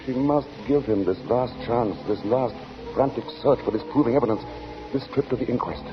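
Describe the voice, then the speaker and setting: A man's voice: mid-range voice, brisk, music in the background. A man giving grave, suspenseful dramatic narration in the style of 1940s radio. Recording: muffled, noisy 1940 radio recording.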